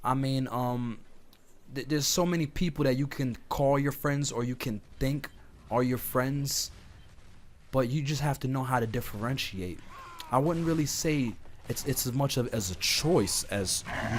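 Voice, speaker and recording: A man with a medium-pitched voice, a little hoarse from illness, hosts a casual online talk. He speaks informally and straight to the listener, rambling through his advice. A video game is audible behind him as noise. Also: unhurried. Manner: informal, conversational, addresses listeners directly. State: slightly hoarse from being sick, often clearing throat. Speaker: host of an online talk series. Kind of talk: casual rambling advice chat over gameplay. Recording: clear background noise, game sounds. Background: video game playing in the background.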